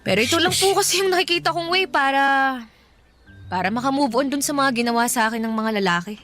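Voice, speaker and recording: A woman's voice: high voice, animated, fast, fairly bright tone, soft background music. An actress playing a stubborn, heartbroken woman in her twenties in a radio drama, talking casually and emotionally, respectful to her father. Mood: determined. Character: heartbroken, stubborn young woman of twenty-five, defiant daughter. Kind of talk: Tagalog radio drama acting.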